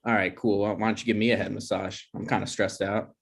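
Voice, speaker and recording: A man's voice: on the low side, little pitch movement, quick pace, creaky. Man acting hesitant, insecure, jealous boyfriend. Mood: hurt, indignant. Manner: hesitant, stammering. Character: insecure, jealous boyfriend, unemployed grad student. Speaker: actor in a screenplay table read. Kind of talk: naturalistic comedy table read.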